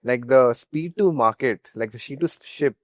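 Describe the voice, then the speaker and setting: An Indian-accented man talks casually as a founder being interviewed. His pitch is medium, he tends to repeat words as he goes, and the sound is very dry. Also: moderate pace, no echo.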